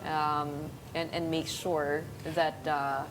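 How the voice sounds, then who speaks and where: Filipino woman host, lowish voice. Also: little pitch movement, slow. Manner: curious, asks follow-up questions. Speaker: TV morning show host. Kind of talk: talk show interview. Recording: noisy studio recording.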